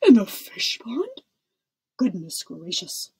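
A woman's voice: on the low side, a little slow, vocal fry, breathy edge, pitched below the performer's own voice, exaggerated voice. A woman voicing a deferential, easily flustered head footman in a storybook, fussy and obsequious. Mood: horrified. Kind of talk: storybook character voice.

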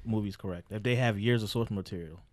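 A man's voice: fairly low pitch, quick pace, crowd noise. A man on a podcast panel talking casually with the group. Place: small room around a table. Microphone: podcast table microphone.

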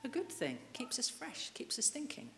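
A woman's voice: sits high, huge pitch swings, normal speed, vocal fry, fairly bright tone. A middle-aged woman preaching a sermon at a church lectern mic, earnestly putting questions to the congregation. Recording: reverberant church hall, light hiss.

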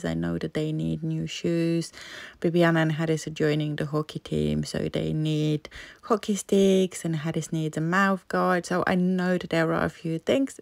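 A woman's voice: sits low, creaky, a little mellow, dry recording. A woman in her thirties from the UK, a mum of three, chatting candidly in a home vlog voice-over about her worries and chores. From United Kingdom. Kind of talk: casual voice-over narration.

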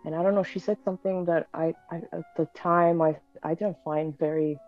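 A woman in her thirties with a low voice, born in Bulgaria, tells her story in a relaxed, rambling way with plenty of fillers and restarts. She sits in a room at home, and the sound comes through thin and phone-like from a video call. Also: a little fast, soft background music. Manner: chatty, many fillers and restarts. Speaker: guest pianist. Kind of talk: casual interview chat. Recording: tinny video call audio.

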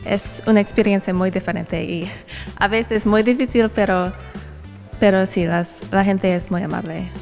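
A woman's voice: medium pitch, steady tone, vocal fry, a little mellow, faint music behind. Young woman speaking learner's Spanish. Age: young adult. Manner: simple learner phrasing with "um" pauses. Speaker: student. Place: university hallway. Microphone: handheld reporter mic.

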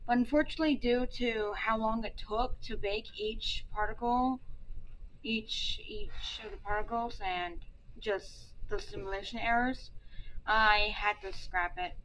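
A woman's voice: on the high side, steady tone, unhurried, a bit bright, slight background noise, poor audio. A young woman leading a student team, reading slides aloud with hesitant fillers and restarts. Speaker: team leader presenting a project. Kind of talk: reading a class presentation. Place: indoor room.

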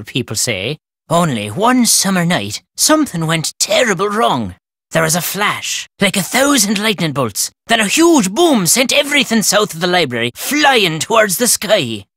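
An actor playing a crotchety, superstitious old Irish caretaker in a video game, telling tales in a folksy, ominous, emphatic way. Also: male voice, sits high, sharp tone, no room sound, clean studio sound, full character voice. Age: older. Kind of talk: theatrical storytelling game dialogue.

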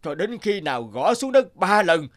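A timid little horned imp. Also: male voice, high-pitched, sing-song, normal speed. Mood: persuasive. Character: small horned male forest imp, timid, polite and placating. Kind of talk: cartoon voice acting.

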